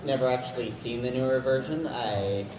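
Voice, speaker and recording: A man's voice: medium pitch, normal speed, crowd noise. A man in the audience at a convention panel, chatting casually. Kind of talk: casual chat. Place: convention centre panel room. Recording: distant, echoey hall with noise.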